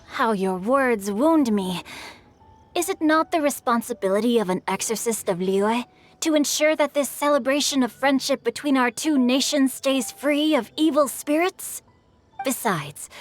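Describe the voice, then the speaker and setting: A woman plays a scholarly teenage boy from an animated game. The voice is fairly high, and the phrasing is florid, courteous and a little sly. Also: close to an everyday voice. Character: bookish, mannered, theatrical teenage boy, teasing noble scholar.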